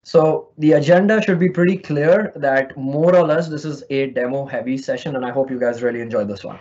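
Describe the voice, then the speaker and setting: A man's voice: medium pitch, a little fast, darkish tone, muffled recording. A man from India with a slight Indian accent, presenting a virtual conference session in a friendly, explanatory way over an online call. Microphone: remote call mic.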